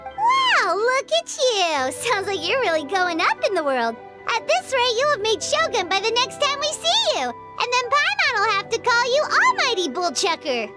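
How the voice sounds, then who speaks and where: A woman voicing a tiny, cheeky, excitable childlike fairy companion with a squeaky voice in a video game. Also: moderate pace, full character voice. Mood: amazed, teasing. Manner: refers to self in third person, excitable. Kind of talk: video game cutscene acting. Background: prominent game music.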